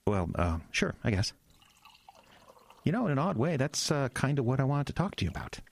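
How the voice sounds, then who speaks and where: An actor playing an earnest, anxious young small-town pastor in an audio drama, halting and self-deprecating. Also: male voice, medium pitch, very animated, gravelly. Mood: nervous, awkward.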